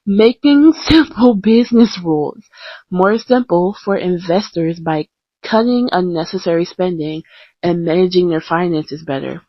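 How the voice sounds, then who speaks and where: A woman's voice: fairly low pitch, unhurried, no room sound. A young woman talking casually in a podcast style, chatty and self-deprecating, with lots of ums and restarts. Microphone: close mic, blank screen. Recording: dull lo-fi home recording.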